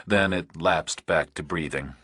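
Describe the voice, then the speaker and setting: A man's voice: rumbling low, unhurried, bright, forceful tone, slightly rough, no room sound, studio quality. A man reading scripted thriller narration as an audiobook narrator.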